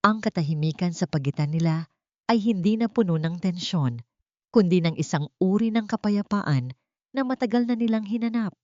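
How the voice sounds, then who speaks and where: A woman's voice: mid-range voice, lively intonation, moderate pace, warm tone, no room sound, muffled recording. A woman reading a dramatic story as a scripted audiobook narration.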